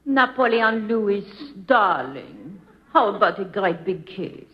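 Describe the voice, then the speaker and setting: A woman's voice: medium pitch, big pitch swings, slow. A woman playing an amorous, pleading empress, cooing melodramatically in a 1950s radio comedy, on a noisy old broadcast. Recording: noisy, muffled vintage radio broadcast, clicks.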